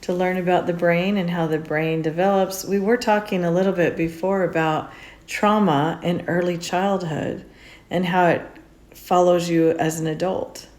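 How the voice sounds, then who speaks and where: A woman hosts a casual podcast in a chatty, upbeat way, leaning into her words with lively emphasis. Her voice sits a little low for a woman, and she moves the talk along easily. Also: moderate pace. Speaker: podcast host. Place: indoors on a road trip in Utah mountains.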